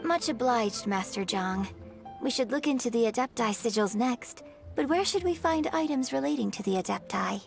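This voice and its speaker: A gracious, gravelly-edged young woman. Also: fairly high pitch, animated, normal speed, faint music behind. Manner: polite and gracious.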